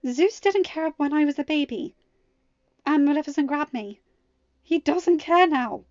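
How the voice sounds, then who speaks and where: A woman's voice: high-pitched, measured pace, a little mellow, no echo, highs cut off, voice pushed up. A woman voicing a vulnerable teenage daughter with a scoffing streak, earnest and hesitant, in a storybook reading. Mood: scornful.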